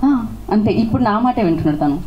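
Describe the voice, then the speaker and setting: A woman's voice: mid-range voice, expressive, very fast, lo-fi sound, a little hiss or hum. A woman hosting a live stage event in a chatty way, speaking into a microphone. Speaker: event host.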